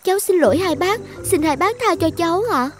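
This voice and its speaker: A woman voicing a gentle, timid young maiden in an animated folk tale. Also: extremely high pitch, brisk, soft background music. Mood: timid, pleading.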